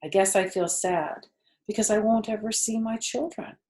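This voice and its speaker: A woman voicing an aging female spider for a children's storybook, weak and quiet, dignified and resigned. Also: mid-range voice, steady tone, moderate pace, close to an everyday voice. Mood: sad, weary.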